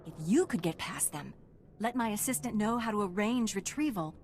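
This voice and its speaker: A woman playing a cautious, businesslike researcher in a video game, speaking in a measured, matter-of-fact way. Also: mid-range voice, lively intonation, slight background noise, close to an everyday voice. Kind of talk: game character dialogue.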